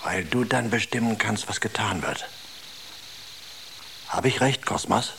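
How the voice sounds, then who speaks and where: Scornful worn-out engineer, lowish voice, sardonic and accusing, radio drama, echoey with noise behind. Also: male voice, expressive, a little slow, a bit bright, full character voice. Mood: suspicious, accusing. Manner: sardonic, accusing, broken short phrases. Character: bitter, scornful, drug-worn male engineer, suspicious of comrades. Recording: roomy echo, clear background noise.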